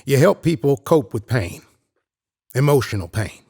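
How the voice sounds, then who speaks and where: A man's voice: mid-range voice, very animated, a little slow, warm tone, breathy edge, heavily acted. A man playing a brusque, impatient, weary special agent in an audio drama, curt and skeptical. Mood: skeptical, measured.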